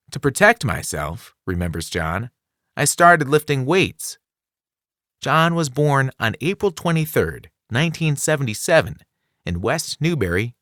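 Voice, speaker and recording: A man reading a children's nonfiction book aloud as scripted narration, enunciating clearly with a dramatic storytelling delivery. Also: mid-range voice, sharp tone, no echo, clean studio sound. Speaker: audiobook reader.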